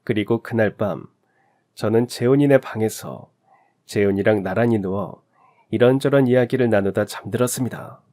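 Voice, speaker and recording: A man narrating a personal story as a radio drama, in a measured, emotive storytelling manner. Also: on the low side, dark tone.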